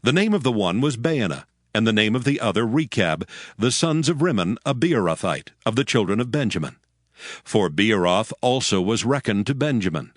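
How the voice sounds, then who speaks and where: A man giving a measured, scripted narration of scripture. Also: on the low side, dry recording, clean studio sound. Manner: measured, even reading. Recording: music bed under voice.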